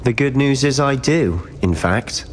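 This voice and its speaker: A man's voice: mid-range voice, lively intonation, measured pace, a little hiss or hum, natural voice. A man playing an intense, hot-headed schoolboy wizard in a video game, speaking in a dramatic, clipped way. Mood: grim, wary.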